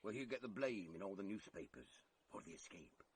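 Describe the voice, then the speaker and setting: An actor playing a ponderous, folksy British police sergeant in a vintage radio drama, pompous and chatty in manner. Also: male voice, low voice, very animated, fairly quick. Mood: solemn, pompous. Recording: noisy vintage radio play recording.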